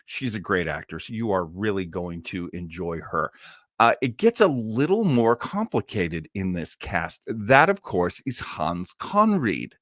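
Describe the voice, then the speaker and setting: A man's voice: low-pitched, very animated, unhurried, fairly bright tone, no echo, studio quality. A middle-aged or older man hosting a live online listening party, chatting casually and enthusiastically like a devoted fan. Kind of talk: casual live chat introducing a radio cast.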